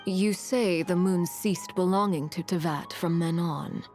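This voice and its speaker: A woman's voice: lowish voice, a little slow, darkish tone, faint music behind, lightly acted. A woman playing a serious, determined traveler. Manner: serious, urgent, direct. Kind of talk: video game voice acting.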